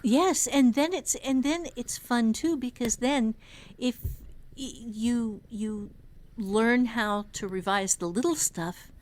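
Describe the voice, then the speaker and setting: A woman's voice: a little high, slow, a little mellow. A woman author and writing teacher chatting on a podcast, candid and self-deprecating with a mild curse now and then. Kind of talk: casual podcast chat.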